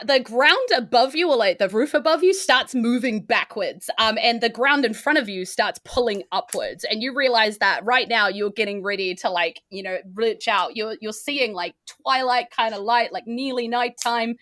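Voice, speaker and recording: Bright, high-voiced New Zealand woman. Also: moderate pace, hardly any pauses. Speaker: game master. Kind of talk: improvised tabletop game narration.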